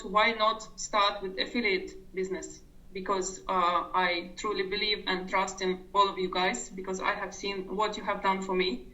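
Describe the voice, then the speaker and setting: A woman's voice: mid-range voice, sharp tone, distant mic, muffled recording. A woman with a non-native English accent, sharing her personal story sincerely as an interview guest and often restarting her sentences.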